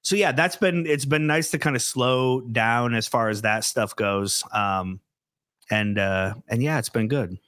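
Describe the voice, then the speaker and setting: A man in his early forties with a mid-range voice, speaking as a guest on a podcast. He is agreeable and chimes in with quick affirmations. The recording is dry and close. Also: medium pitch, a bit bright, clear, resonant tone, no echo. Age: 40s. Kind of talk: casual chat.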